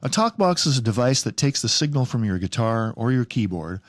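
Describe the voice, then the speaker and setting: A man's voice: mid-range voice, big pitch swings, a little fast, no room sound. A man presenting a casual DIY tutorial in a plain-spoken, lightly wry way. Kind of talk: casual how-to narration.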